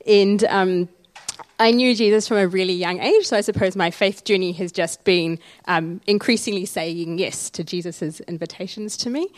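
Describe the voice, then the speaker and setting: A woman, with a voice of middle pitch. She talks earnestly and reflectively as a guest in a casual church interview. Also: moderate pace, no echo. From Sri Lanka, raised in Auckland. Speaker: interview guest, community development worker.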